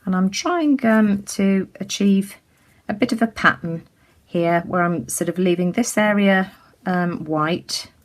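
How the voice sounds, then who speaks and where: A woman from the UK giving a casual craft tutorial as she works, chatty and full of ums, her voice a little croaky. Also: mid-range voice, unhurried. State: slightly croaky. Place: indoors at a craft table.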